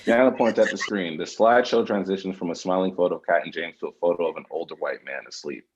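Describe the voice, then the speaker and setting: A man's voice: low-pitched, fast talker, a little hiss or hum. A man reading scripted stage directions as narrator, in a slightly lo-fi recording. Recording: slightly dull, lo-fi sound.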